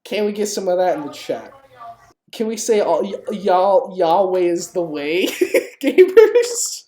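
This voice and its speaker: Slow-paced, lowish-voiced woman streaming games. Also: very animated, dark tone. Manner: informal, sweary, jokey mock-pleading with viewers. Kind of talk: casual live game stream commentary. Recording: clearly noisy home stream, keyboard clicks.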